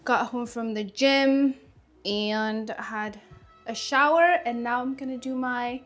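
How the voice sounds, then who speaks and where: A woman's voice: a little high, takes it slowly, fairly bright tone, soft background music. A woman in her thirties from Ethiopia, chatting casually to camera at home while doing her skincare, rambling and correcting herself as she goes. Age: 30s. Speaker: lifestyle vlogger.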